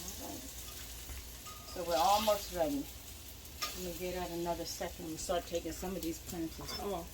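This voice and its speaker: A woman's voice: lowish voice, fairly even intonation, moderate pace, pauses often, background noise. A woman in her home kitchen, chatting casually and talking herself through each step as she cooks. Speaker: cooking vlogger. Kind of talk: casual narration while cooking. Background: frying and sizzling in a pan.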